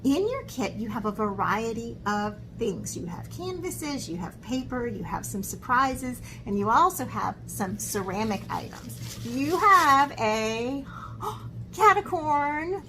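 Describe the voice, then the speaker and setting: A woman art teacher talking to young children in an expressive, encouraging way, as in a lesson or picture book read-aloud. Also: fairly high pitch, background noise. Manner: expressive, encouraging talk aimed at young children, with dramatic gasps.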